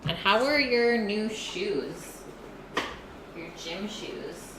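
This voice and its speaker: Slow-talking woman cooking on a stream. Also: mid-range voice, animated. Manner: chatty, reads viewer messages aloud and answers them. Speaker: livestream cooking host. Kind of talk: casual cooking stream chat. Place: home kitchen. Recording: echoey kitchen with background noise.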